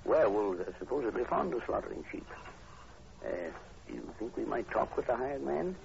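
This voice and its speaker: A calm brainy professor, gravelly n polite, 40s radio horror, real noisy old recording. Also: male voice, on the low side, muffled recording, exaggerated voice. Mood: thoughtful. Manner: measured, thoughtful, polite. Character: calm, analytical male professor investigating strange killings. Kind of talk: 1940s radio horror acting.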